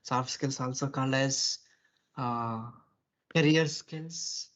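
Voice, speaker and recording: A man's voice: medium pitch, slow pace, fairly bright tone. Brief: male teacher, rambling lecture full of "you know", dull lo-fi sound. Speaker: soft skills teacher. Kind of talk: online class lecture. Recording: dull lo-fi audio.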